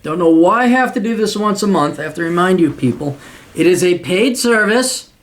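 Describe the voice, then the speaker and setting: A man's voice: sits high, lively intonation, brisk. A man hosting a paid advice show, lecturing his listener in a blunt, profane, mocking way. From United States. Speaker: podcast host giving paid advice.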